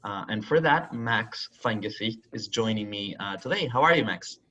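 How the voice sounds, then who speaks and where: Low-voiced host on a muffled call. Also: male voice, expressive, moderate pace, sharp tone. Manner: friendly, hesitant with many fillers. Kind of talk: casual virtual interview. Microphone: video call. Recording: dull lo-fi call audio.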